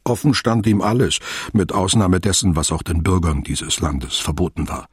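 Sober literary radio-play narrator, low register, heavily husky voice, formal and deliberate. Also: male voice, expressive, no room sound, studio quality, exaggerated voice. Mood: sober, measured. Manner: measured, formal, wordy.

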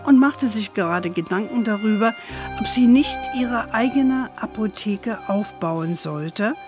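Woman narrating a kids radio play, slow pace, medium pitch, music behind. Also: expressive. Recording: music under the voice.

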